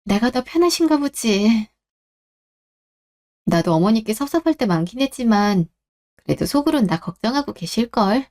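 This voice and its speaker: A patient young wife in a radio drama, played by a woman. Her voice is fairly high, and she speaks gently, with an apologetic, conciliatory manner. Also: lively intonation, normal speed, pauses often. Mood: resigned, understanding. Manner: soft-spoken, conciliatory, polite. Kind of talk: Korean radio drama acting.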